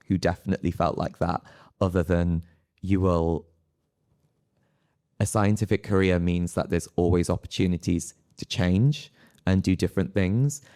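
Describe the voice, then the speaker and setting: A man, a scientist on a live panel, giving reflective advice in a halting, thinking-aloud way. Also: low voice, fairly even intonation, darkish tone.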